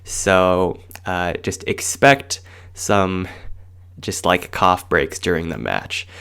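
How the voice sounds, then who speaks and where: A man narrating a tabletop game as game master, speaking in a measured, low-key way while congested. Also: lively intonation, gravelly, fairly bright tone, clean tone.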